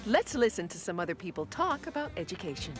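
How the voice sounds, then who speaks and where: Lively-voiced woman hosting a lesson. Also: lowish voice. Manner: slow, careful, teacherly. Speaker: lesson host. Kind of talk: scripted lesson narration. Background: music.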